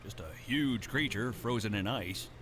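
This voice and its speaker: An actor playing an uneasy, superstitious sea truck driver in a video game, talking in a colloquial, ominous way. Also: male voice, on the low side, moderate pace, over a noisy crowd, close to an everyday voice. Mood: conspiratorial. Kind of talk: acted recorded dialogue in a video game.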